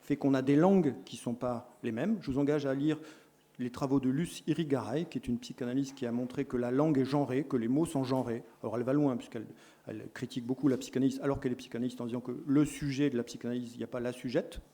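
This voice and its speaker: A middle-aged man lectures a room of teacher tutors. His voice is warm and mellow, sitting at a middle pitch, and he leans hard on key words before dropping into quick corrections and asides. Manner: explanatory, lively emphasis, self-correcting asides. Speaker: lecturer in education sciences.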